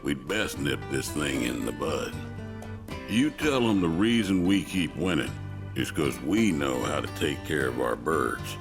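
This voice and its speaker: A man's voice: extremely low pitch, big pitch swings, a little slow, over music, heavily acted. A man playing a folksy, confident ranch boss with a drawling Southern US accent in a game cutscene. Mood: indignant. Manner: drawling, colloquial.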